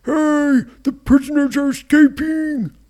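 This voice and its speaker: A storyteller voicing a gruff male pirate guard who yells and taunts loudly. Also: high-pitched, steady tone, pitched above the performer's own voice. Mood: alarmed. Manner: loud yelling, drawn-out taunts.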